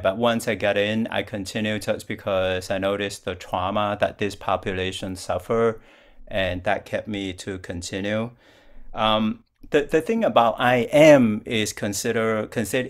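An older man from Vietnam, a Buddhist monk, calmly giving a dharma talk over a video call, speaking slowly and patiently with frequent restarts. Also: medium pitch. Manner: calm, slow and patient, with restarts. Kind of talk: dharma talk answering questions. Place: plain-walled room at home.

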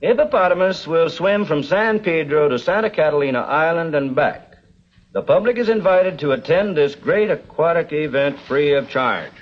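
An actor playing an older American frontier newspaperman in a TV western, folksy, dry and deliberate. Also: a little high, lively intonation. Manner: folksy, reads copy aloud deliberately. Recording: dull old television soundtrack.

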